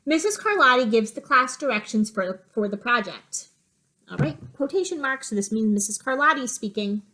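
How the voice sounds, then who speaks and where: A woman with a fairly high-pitched voice teaches through a picture-book read-aloud. She narrates and explains in simple words meant for young children. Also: animated, moderate pace, bright, forceful tone, rough recording. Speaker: elementary reading teacher.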